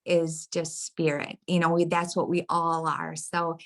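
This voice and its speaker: Halting, lower-pitched woman with a tiring voice. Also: completely flat delivery. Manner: earnest, halting, checks that listener follows. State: voice tiring, throat clearing. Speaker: podcast guest. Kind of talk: casual reflective spiritual conversation.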